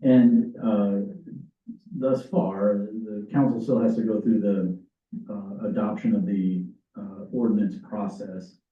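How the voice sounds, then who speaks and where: A man giving a formal budget update at a public meeting, in measured, formal phrasing. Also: lowish voice, steady tone. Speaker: staff member giving a budget report. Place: council meeting room. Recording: echoey chamber, distant tinny mic.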